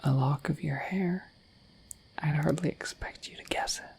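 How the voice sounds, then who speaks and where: A woman voicing a shy, friendly dragon in a breathy whispered ASMR roleplay, rambling gently and hesitantly to the listener. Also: sits low, moderate pace, full character voice. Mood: musing, gentle. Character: shy, friendly, dorky dragon in humanoid form.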